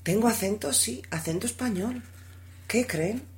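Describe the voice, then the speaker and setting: A woman's voice: mid-range voice, lively intonation, unhurried, a little mellow, a little hiss or hum, lightly acted. A woman with a Spanish accent co-hosting a casual music podcast, chatty and playful. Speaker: podcast co-host. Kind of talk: casual radio chat, playing another show's host.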